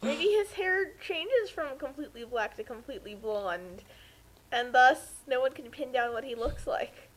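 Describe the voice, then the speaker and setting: A woman's voice: sits high, lively intonation, normal speed, poor audio. A woman giving casual, joking commentary as a co-commentator.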